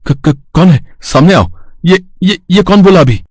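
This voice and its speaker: A man playing a lazy, greedy village flower seller, theatrical and excitable with a husky voice, in a children's moral story. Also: high-pitched, measured pace, dry recording, exaggerated voice. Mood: startled, doubtful. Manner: theatrical, stammering when startled, exclaiming. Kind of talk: animated moral story dialogue.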